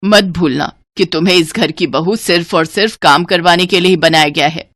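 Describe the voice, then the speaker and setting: A woman's voice: lowish voice, normal speed, a bit bright, no room sound, studio quality. A woman voicing a harsh, bossy mother-in-law in a cartoon story, scolding and commanding.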